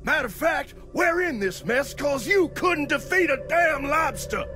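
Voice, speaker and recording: A man's voice: extremely high pitch, a little slow, music in the background. A man voicing a pompous, sneering, short-tempered boss in a video game, with a Southern US accent and a folksy, condescending, mock-genteel manner. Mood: angry. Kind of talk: video game cutscene acting.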